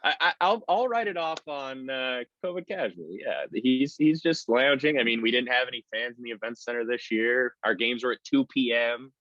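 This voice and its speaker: A man's voice: mid-range voice, normal speed, few pauses, a bit bright, dry recording. A man who works as a play-by-play announcer, giving conversational sports analysis in an interview. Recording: slightly dull lo-fi audio.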